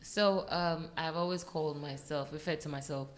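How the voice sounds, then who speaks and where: A woman's voice: sits low, steady tone. A young woman guest, chatting casually in an interview and often breaking into laughter. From Nigeria. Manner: chatty and quick to laugh, lots of restarts. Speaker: guest musician.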